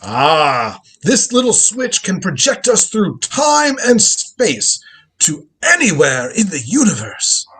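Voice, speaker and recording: Man acting a husky, crotchety old inventor grandfather. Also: sits high, huge pitch swings, takes it slowly, bright, forceful tone, heavily acted. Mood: proud, lecturing. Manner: imperious, theatrical, lecturing. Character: crotchety, proud old grandfather inventor, time traveller from the future. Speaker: lead actor in a live script reading. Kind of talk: live reading of a radio drama script.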